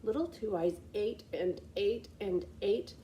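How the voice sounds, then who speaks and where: A woman reading a children's fairy tale as a storyteller, with expressive scripted narration. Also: mid-range voice, takes it slowly, slight background noise, low-quality recording. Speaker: library storyteller.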